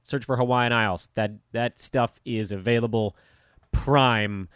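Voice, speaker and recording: A man's voice: medium pitch, unhurried, a bit bright, smooth, no room sound, clean studio sound. A man hosting a casual sports podcast, reading sponsor plugs and passing questions to his co-hosts in an upbeat way. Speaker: podcast host.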